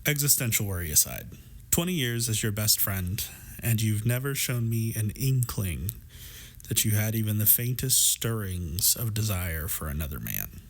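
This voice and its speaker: Man playing a low-voiced, teasing, supportive best friend. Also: expressive, normal speed. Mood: earnest, gentle. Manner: playful, affectionate, wry, reassuring. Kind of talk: intimate scripted roleplay audio drama.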